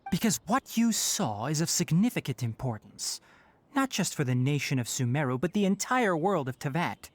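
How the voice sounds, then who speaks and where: A young man playing a composed, scholarly forest ranger in a video game, articulate, measured and matter-of-fact. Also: a little high, very animated. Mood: earnest, formal.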